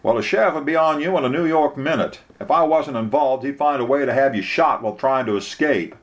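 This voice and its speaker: A man's voice: mid-range voice, a little fast, voice pushed up, close to an everyday voice. A man reading a story, voicing a folksy, blunt Southern lawyer who talks in an emphatic, colloquial way. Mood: wry.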